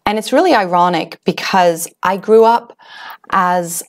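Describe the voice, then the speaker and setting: A woman's voice: fairly low pitch, a little slow. Earnest, confiding woman telling her story. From Switzerland. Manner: earnest, confiding, with frequent fillers and restarts. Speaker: webinar host. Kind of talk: personal story told to an online audience.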